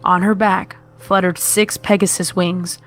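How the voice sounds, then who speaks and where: A woman with a lowish voice narrates a horror tale from a script. Music plays clearly behind the reading. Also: flat delivery, unhurried.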